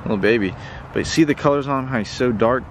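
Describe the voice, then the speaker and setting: A young man talks in a folksy, enthusiastic vlog style. His voice sits at an average pitch. He is recorded outdoors on a camera a few feet away, with plainly audible wind and ambient noise around him. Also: quick pace. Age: young adult. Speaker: fishing video host. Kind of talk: casual instructional fishing vlog. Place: outdoors on a pond bank.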